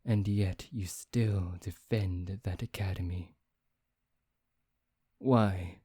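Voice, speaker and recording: A man playing a brooding, taunting vampire in an ASMR roleplay, speaking in a theatrical, deliberate, teasing way. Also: deep voice, steady tone, mellow, breathy edge, dry recording, clean studio sound, full character voice. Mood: reproachful. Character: brooding, taunting male vampire bent on revenge. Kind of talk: ASMR roleplay, soft dramatic acting.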